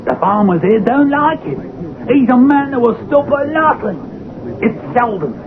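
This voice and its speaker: High-pitched wagonette driver, noisy radio. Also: male voice, very animated, a little slow, full character voice. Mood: grim warning. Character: male wagonette driver, plain-spoken, warning gentlemen passengers. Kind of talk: radio drama acting. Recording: tinny, noisy old radio recording.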